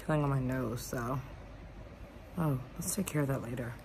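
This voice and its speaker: A woman's voice: rumbling low, normal speed, noisy background. A young woman in her twenties talking casually into a phone held at arm's length at a resort. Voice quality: raspy in the morning. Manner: soft, half-whispered at night. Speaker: travel vlogger. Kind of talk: casual selfie vlog. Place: hotel rooms and resort grounds.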